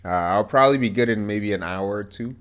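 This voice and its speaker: A man's voice: on the low side, a little fast, fairly bright tone. Congested man in casual podcast banter. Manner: joking, teasing banter. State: congested, stuffed-up nose. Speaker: podcast co-host.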